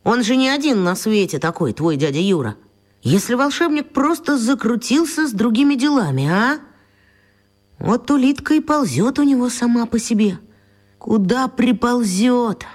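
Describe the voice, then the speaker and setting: A brooding, bossy schoolboy. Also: female voice, on the high side, animated, normal speed, darkish tone. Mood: thoughtful. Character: schoolboy Pavel, mild young hooligan, bossy and brooding. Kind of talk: radio play acting.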